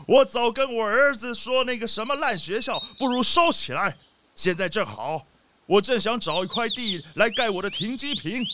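A man's voice: sits high, expressive, measured pace, background noise. A man playing a rich, boastful, haughty leopard boss in a children's audio drama. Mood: gloating.

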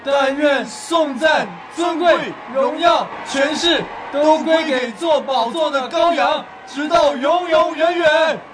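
An actor playing a jubilant, devout pilgrim praising God in a radio drama. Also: male voice, extremely high pitch, slow pace, few pauses, raised voice, crowd noise, poor audio. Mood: exultant.

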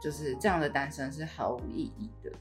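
Female podcast co-host, deep register, Taiwanese Mandarin accent, conversational delivery over a music bed. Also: fast.

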